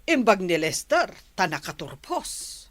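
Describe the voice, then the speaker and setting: A woman voicing a caring but strict old grandmother in a radio drama, slow and scolding yet tender. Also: on the low side, very animated, no room sound. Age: older. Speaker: grandmother character. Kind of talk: Ilocano radio drama acting.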